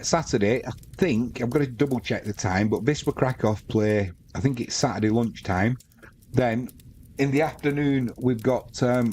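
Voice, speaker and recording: A man's voice: medium pitch, darkish tone, slight background noise. A man with a Manx accent hosting a live sports stream, chatting casually and reading out viewer comments between bits of match commentary.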